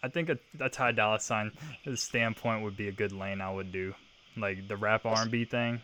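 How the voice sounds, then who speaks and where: Brief: deep-voiced man, twenties, laid-back podcast co-host, noisy recording. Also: fairly even intonation, normal speed, pushed and bright. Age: 20s. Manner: laid-back, joking, laughs often. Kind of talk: casual podcast banter.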